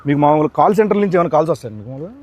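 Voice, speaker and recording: A man's voice: fairly high pitch, animated, racing, darkish tone. A man with a Telugu accent hosting a playful celebrity interview in a bumbling way, tripping over foreign words. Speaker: comic interviewer.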